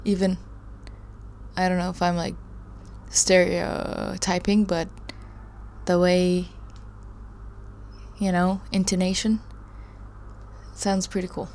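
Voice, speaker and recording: A woman's voice: on the low side, little pitch movement, slow, pauses often, a little mellow, a little hiss or hum. A woman hosting a live study stream, chatting casually with viewers and reading their comments aloud in a rambling, chatty way. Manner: rambling, chatty, laughs often, trails off.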